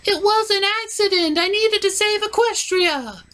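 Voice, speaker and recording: Woman putting on a young female pony princess, high voice, earnest, defensive, pleading, puppet comedy. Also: normal speed, fairly bright tone.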